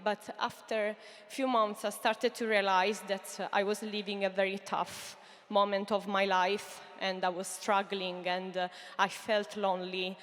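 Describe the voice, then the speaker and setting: Italian-accented woman pitching on stage. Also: flat delivery, measured pace. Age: 30s. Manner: earnest, addresses the audience directly with frequent fillers. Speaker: startup founder giving a stage pitch. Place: large hall stage. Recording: long hall reverb.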